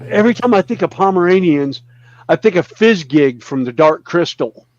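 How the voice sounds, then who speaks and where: Casting note: man in his fifties, high voice, jokey and animated, dull dry recording. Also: normal speed, no echo. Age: 50s. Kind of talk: casual chat on a live stream.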